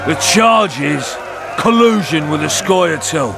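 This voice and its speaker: Officious game executioner: high-pitched, animated, gravel-edged, blunt threats, music underneath. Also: male voice, slow, shouting, a crowd in the background, heavily acted. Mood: gruff, officious. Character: bullying, officious town executioner enforcing the law. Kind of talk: video game cutscene acting.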